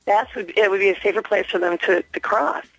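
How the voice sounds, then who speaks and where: A woman's voice: mid-range voice, brisk, gravelly, no room sound. Earnest woman calling in by phone. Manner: earnest, hesitant, insistent. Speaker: radio call-in listener. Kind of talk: earnest spontaneous phone complaint. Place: phone call into a radio station. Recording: dry telephone line to radio studio.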